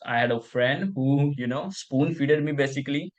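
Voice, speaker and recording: A young man hosts a relaxed interview, winding through his questions with restarts and "you know" fillers. His voice sits at an everyday middle pitch for a man. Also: normal speed. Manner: rambling, loose grammar, frequent restarts and fillers. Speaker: interview host. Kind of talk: casual interview.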